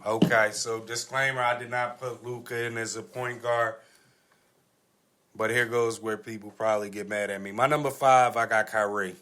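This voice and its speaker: A man's voice: fairly low pitch, fairly even intonation, moderate pace, pushed and bright. A man arguing his points insistently in a casual sports debate, often repeating himself as a podcast host.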